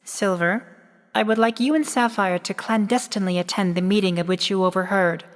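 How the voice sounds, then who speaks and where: A woman playing a composed, ancient pony princess in a radio drama, stately, gracious and measured. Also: mid-range voice, steady tone, close to an everyday voice. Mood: gracious, careful. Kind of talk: fan-made radio drama acting. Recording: long hall reverb.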